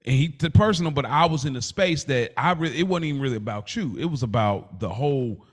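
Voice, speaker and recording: A man's voice: mid-range voice, lively intonation, fast talker, breathy edge. Casual, slangy male podcast co-host.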